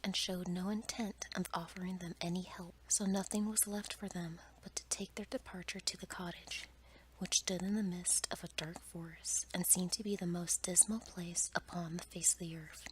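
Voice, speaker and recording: A woman's voice: lowish voice, steady tone, normal speed. Whispering woman reading a bedtime story. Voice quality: soft, breathy whisper. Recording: clear background noise, ambient sound.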